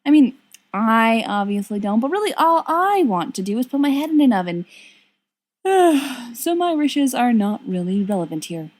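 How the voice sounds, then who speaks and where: A woman's voice: on the high side, very animated, normal speed, pitched above the performer's own voice. A woman reading a storybook part as a weary, wry young woman, coaxing and pleading.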